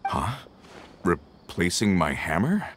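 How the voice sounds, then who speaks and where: Tired middle aged workman in a game, low voice, plain talking, bit of ambience behind. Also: wildly swooping pitch, takes it slowly, background noise, heavily acted. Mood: puzzled. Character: weary working man, dreaming of escape. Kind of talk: animated game character acting.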